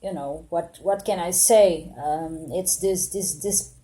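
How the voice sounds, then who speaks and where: A woman's voice: on the low side, fairly even intonation, slow, dark tone. A woman in her late thirties from Portugal, talking to camera in an earnest, reflective monologue full of hesitations and restarts. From Portugal, born in Angola. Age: 30s. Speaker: vlogger.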